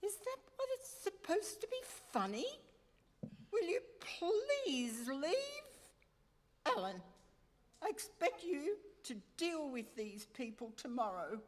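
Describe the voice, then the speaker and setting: A woman's voice: high voice, big pitch swings, takes it slowly, a little hiss or hum, full character voice. A woman playing a haughty, indignant publisher's wife in a radio play, speaking imperiously. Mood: indignant, scornful. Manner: imperious, scandalized. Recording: echoey hall stage recording.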